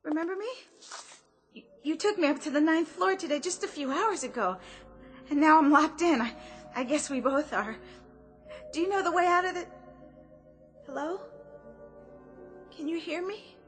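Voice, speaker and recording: A woman's voice: sits high, expressive, normal speed, pauses often. An actress playing a frightened young woman trapped alone, stammering breathlessly and pleading in a radio drama. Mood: anxious relief. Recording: radio drama with clear music underneath. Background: dramatic music.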